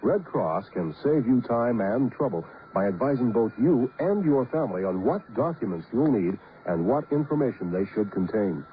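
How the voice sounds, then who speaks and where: Animated male announcer on tinny radio. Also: medium pitch, normal speed, hardly any pauses. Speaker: public service announcer. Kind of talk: scripted radio public service announcement. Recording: narrow tinny radio recording, faint noise.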